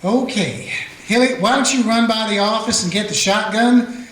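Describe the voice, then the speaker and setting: A man's voice: sits high, moderate pace, fairly bright tone. A man playing a plain-spoken small-town Western sheriff who also narrates, with folksy grammar. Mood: steady. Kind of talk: amateur radio play acting with narration. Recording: roomy echo.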